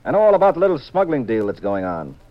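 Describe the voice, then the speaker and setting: An actor playing a cool, shrewd private detective, brisk and assured, in a hard-boiled 1950s radio drama style. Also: male voice, medium pitch, very animated, lightly acted. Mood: firm, bluffing. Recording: muffled, hissy 1950s radio recording.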